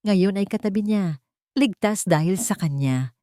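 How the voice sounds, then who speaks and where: A woman's voice: mid-range voice, lively intonation, unhurried, warm tone, no room sound, studio quality. A woman reading a scripted romance story as a dramatic narrator.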